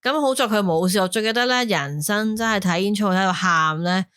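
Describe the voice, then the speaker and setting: A woman with a Hong Kong Cantonese accent co-hosting a casual podcast chat, emotional and animated, swearing freely when worked up. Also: medium pitch, fairly quick, clear, resonant tone, no room sound, clean studio sound. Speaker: podcast co-host.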